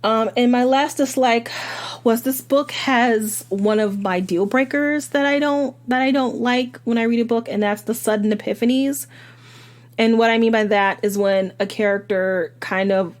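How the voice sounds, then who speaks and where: A woman reviewing a book in a casual, rambling monologue, her voice slightly hoarse, with fillers and restarts. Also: mid-range voice.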